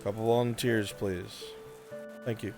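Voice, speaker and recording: A man's voice: fairly low pitch, fairly even intonation, unhurried, gravelly. Informal, directive male game master over music. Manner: informal, directive, profane asides. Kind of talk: running a live online roleplay session. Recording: music and rain behind. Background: music and rain sounds.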